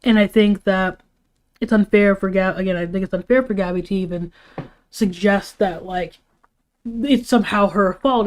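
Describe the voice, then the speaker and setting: Tired-sounding woman livestreaming casually. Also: mid-range voice. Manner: informal, opinionated, swears casually. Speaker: livestream host. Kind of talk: casual rambling livestream commentary.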